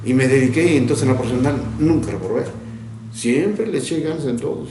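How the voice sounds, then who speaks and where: An older man from Mexico, a retired teacher, recalling his childhood in an interview, reflective and often laughing, with halting restarts. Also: mid-range voice, lively intonation, echoey room, background noise. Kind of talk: recounting childhood memories.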